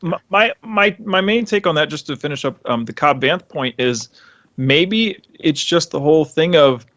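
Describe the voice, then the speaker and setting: A man's voice: fairly high pitch, lively intonation, moderate pace, a bit bright, dry recording, muffled recording. A man speaking as a podcast co-host in casual, enthusiastic, rambling fan chat.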